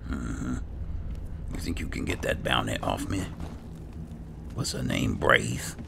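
A man doing casual gameplay roleplay commentary in a low-key, muttering way. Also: animated, slow pace, gravelly, background noise. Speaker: gamer playing and narrating a roleplay. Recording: music behind the voice. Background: game music.

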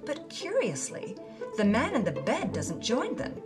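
Wry, very expressive 1950s starlet. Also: female voice, on the high side, unhurried. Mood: tense, urgent. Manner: wry, sardonic, theatrical. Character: brash, wronged 1950s B-movie starlet telling her memoir. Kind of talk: dramatic first-person narration in audio drama. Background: music.

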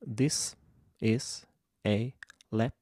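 A young man from Sweden with a Swedish accent, hesitantly walking through a software tutorial at his computer, with many ums and restarts. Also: fairly low pitch, steady tone, drawn-out words. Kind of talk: screen-recorded software tutorial. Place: home room at a computer.

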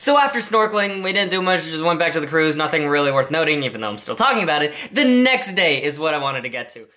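A man's voice: a little high, expressive, quick pace, pushed and bright, a little hiss or hum. A teenage boy vlogging casually from his bedroom, rambling and correcting himself as he goes. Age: teens. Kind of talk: casual vlog chat. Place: small bedroom with posters.

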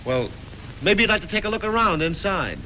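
An actor playing an easygoing, shy young ranch hand who speaks in a laconic, drawling way, in early 1930s film acting. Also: male voice, high voice, very animated, brisk, sharp tone, exaggerated voice, poor audio. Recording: hissy early sound film.

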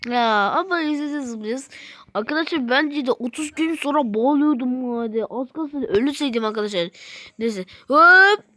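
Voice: high-pitched, expressive, normal speed, pushed and bright, no echo. A video game YouTuber giving rambling, exclamatory let's play commentary, often repeating phrases and talking straight to viewers.

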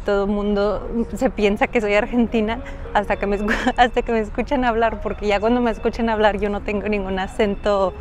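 A woman's voice: mid-range voice, fairly even intonation, brisk, darkish tone. A woman from Mexico giving a casual, slangy walking tour and chatting informally to her viewers. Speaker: travel vlogger and host. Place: mostly outdoors, walking around an accommodation village.

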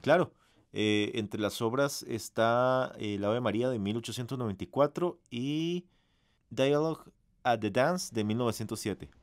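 A man's voice: medium pitch, a little slow, close to an everyday voice. An actor playing a polite young male music student with a Costa Rican accent, explaining things in a radio drama. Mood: polite, informative.

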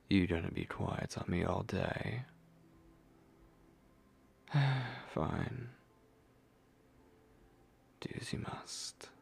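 A man playing a possessive, commanding vampire lord in an ASMR roleplay, speaking in breathy, gravelly whispers with slow, menacing condescension. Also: deep voice, a little mellow, heavily acted. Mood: smug, coaxing. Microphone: close microphone.

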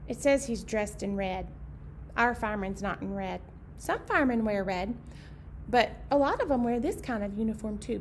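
A woman's voice: a little high, vocal fry, a little hiss or hum. A woman in her thirties hosting a children's storytime, talking and reading aloud in simple, encouraging phrases to young listeners. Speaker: children's librarian, storytime host. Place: indoor library program room.

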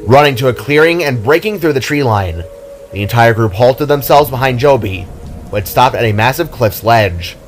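A man's voice: medium pitch, lively intonation, moderate pace, soft background music. A man reading his fantasy story aloud as a dramatic scripted narration. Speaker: author narrating own story.

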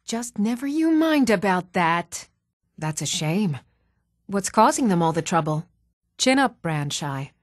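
A hardworking village woman in a fantasy game. She speaks plainly and firmly, like a no-nonsense mother, in a mid-pitched voice. The recording is dry and free of room sound. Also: very animated, a little slow, dry recording. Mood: conversational, firm. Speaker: video game voice actor. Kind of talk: scripted fantasy game dialogue.

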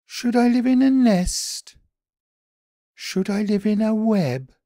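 A man's voice: sits high, takes it slowly, mellow, no room sound, clean studio sound, voice pushed up. A man voicing a naive, curious young rabbit in a wondering, questioning manner for a storybook. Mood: puzzled.

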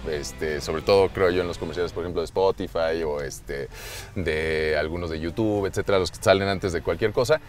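A man with a Mexican accent, a voice actor and announcer, explaining his work in a relaxed chat as a passenger in an open-top car. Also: low voice, normal speed, faint music behind. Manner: easygoing, explains patiently with many fillers. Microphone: car-mounted camera.

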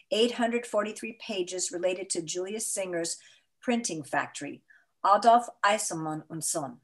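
Middle-aged actress, steady expressive memoir reading, webcam sound. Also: female voice, medium pitch. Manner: measured, expressive storytelling. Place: indoors in front of a mottled photo backdrop. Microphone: video call webcam.

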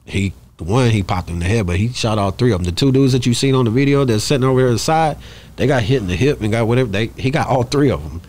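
A man's voice: mid-range voice, quick pace, a little mellow. A man, chatting casually as a podcast guest in a rambling, slangy way. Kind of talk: casual chat. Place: small podcast studio in a shop, indoors. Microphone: close studio microphone on arm.

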